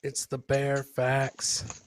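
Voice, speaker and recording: A man's voice: medium pitch, monotone, very slow. A man hosting a comedy podcast, casually commenting on clips in a boisterous, slangy way with repeated catchphrases.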